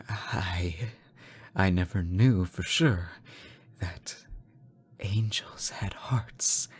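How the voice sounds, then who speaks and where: Guy doing a lovesick unhinged fallen angel, lowish voice, slow n halting, bitter audio roleplay monologue. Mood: tense, breathless. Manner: theatrical, halting, sighing, swinging from hushed to frantic. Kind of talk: dramatic audio roleplay monologue addressed to a lover.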